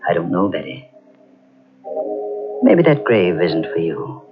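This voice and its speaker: An actor playing a brooding uncle in an old radio mystery, speaking gravely and ominously. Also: male voice, low-pitched, big pitch swings, slow pace, music in the background, heavily acted. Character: brooding older man, uncle of the house. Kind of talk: 1940s radio acting. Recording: dull old radio transcription.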